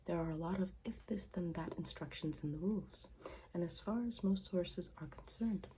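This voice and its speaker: A woman playing a morbid, deadpan schoolgirl in an ASMR roleplay, speaking softly and formally, often slipping into a whisper. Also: on the low side, a little hiss or hum, close to an everyday voice. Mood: formal, ominous. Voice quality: soft, breathy, often dropping into whisper. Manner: deadpan, formal, deliberate wording.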